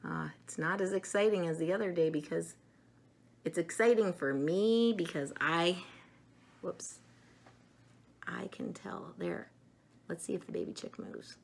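A middle-aged woman chatting to young children in an animated, simple way in a room at home. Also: fairly low pitch, a little slow, gravelly, long pauses, slight background noise. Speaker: storyteller showing children books and eggs. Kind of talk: casual chat to children.

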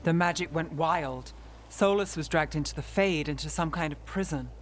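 An actress playing a cool-headed, dry-witted companion in a video game cutscene. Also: female voice, deep voice, moderate pace, faint music behind, natural voice. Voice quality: dry, sardonic. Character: wry, cool-headed woman mage, dry wit.

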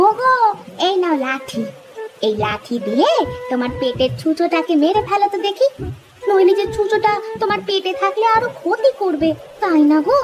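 Silly innocent cartoon wife, super high swoopy voice, earnest and puzzled. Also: animated, normal speed, music in the background. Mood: naive coaxing. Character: foolish young village wife, naive, earnest, literal-minded. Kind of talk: Bengali comic cartoon dialogue.

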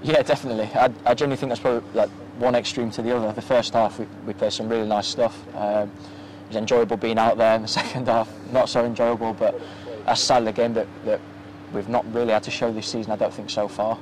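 Soft-spoken young footballer, low flat voice. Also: male voice, quick pace, hardly any pauses, low-quality recording. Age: young adult. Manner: soft-spoken, many ums and restarts. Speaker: professional footballer. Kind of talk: post-match interview. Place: outdoors at a floodlit football ground at night. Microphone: handheld interview mic. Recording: outdoor ambience with faint noise.

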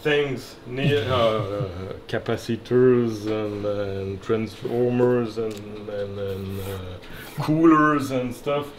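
A man, a software engineer showing a power unit, self-deprecating. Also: on the low side, slow pace, hardly any pauses, slight background noise. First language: French. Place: workshop, indoors.

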